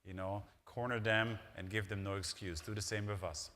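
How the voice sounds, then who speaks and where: Man, low voice, conference wrap-up, halting with fillers, hall reverb. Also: steady tone, fairly bright tone. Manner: informal, hesitant, with many fillers. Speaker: company executive and co-presenter. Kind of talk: closing remarks at a conference.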